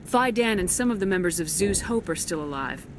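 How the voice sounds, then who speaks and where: An actress playing a resolute, businesslike military commander in a sci-fi video game, brisk and direct. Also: female voice, lowish voice, animated, background noise, lightly acted.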